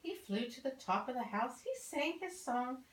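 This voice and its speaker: An older woman reading a children's story expressively, as a grandmother would, deliberately and with drawn-out emphasis on key words. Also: high voice, animated, a bit bright. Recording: roomy home recording, faint noise.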